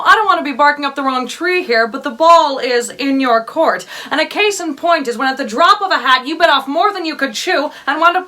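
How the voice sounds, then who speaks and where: A woman puts on a chirpy insurance clerk for a comedy sketch. Her high, bright voice delivers muddled, officious phone patter. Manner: officious, cliché-stuffed patter. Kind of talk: comic character monologue.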